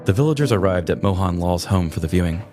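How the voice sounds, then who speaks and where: Deep-voiced male story narrator over music. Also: animated, fast. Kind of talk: scripted comedic story narration. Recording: music bed under the voice.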